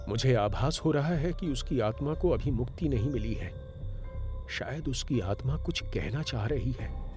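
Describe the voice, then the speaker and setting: A man's voice: mid-range voice, darkish tone, lightly acted. An actor playing a fatherly, earnest elderly village priest who is grieving, in a comic drama. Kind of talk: audio drama dialogue over motion comic. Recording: music behind. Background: background music.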